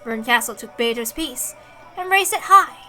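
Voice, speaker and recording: Woman, bright forceful tone, slightly high pitch, expressive game read-aloud, casual streamer asides, soft music underneath. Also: normal speed. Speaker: streamer reading a visual novel aloud. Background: game soundtrack music.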